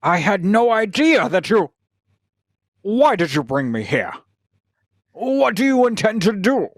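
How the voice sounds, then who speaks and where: A woman's voice: mid-range voice, big pitch swings, unhurried, no echo, studio quality. A woman playing an anxious, hesitant young male scientist with orthodox beliefs in a radio sci-fi drama. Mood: puzzled, curious. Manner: hesitant, incredulous, protesting. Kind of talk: cold-read old-time radio sci-fi drama.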